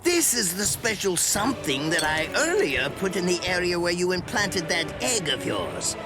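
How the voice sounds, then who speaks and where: A man's voice: high voice, sing-song, moderate pace, music in the background. An actor voicing a smug, sadistic mad scientist in an anime game, condescending and theatrical in his drawl. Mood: gloating, lecturing. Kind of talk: anime video game dub acting.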